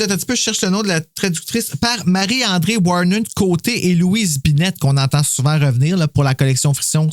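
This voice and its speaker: A man's voice: high-pitched, no room sound, clean studio sound. A man with a Quebec French accent hosting a podcast in casual, jokey, rambling chat full of slang. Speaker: podcast host.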